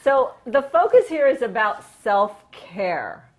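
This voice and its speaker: A woman's voice: fairly high pitch, sing-song, takes it slowly. A woman hosting a wellness interview show in an effusive, emphatic and conversational way. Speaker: host of a women's wellness show.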